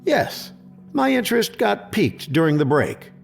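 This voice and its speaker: An actor playing a prickly, sarcastic government secretary and skeptic in an audio drama, snippy and sardonic. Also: male voice, high voice, sing-song, normal speed, gravelly, soft background music, exaggerated voice. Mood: probing.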